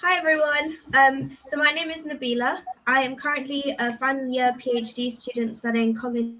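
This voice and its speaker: A woman's voice: high voice, fairly even intonation, brisk, bright, forceful tone, low-quality recording. Upbeat young woman from Zimbabwe. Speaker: PhD student on a panel.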